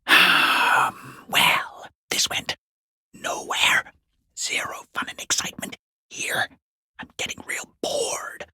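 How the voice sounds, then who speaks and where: A man playing a sneering, furtive peeping lurker in a video game, with a hoarse, breathy, half-whispered rasp and a sarcastic, taunting drawl. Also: takes it slowly, pushed and bright, dry recording. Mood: bored, dismissive. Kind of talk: video game character acting.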